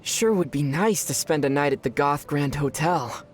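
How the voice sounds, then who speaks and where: A man playing a curious, thoughtful young traveler in a video game, measured and gently wry. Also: on the high side, slight background noise, lightly acted. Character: young male traveler from another world, curious, mild and thoughtful. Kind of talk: scripted video game dialogue.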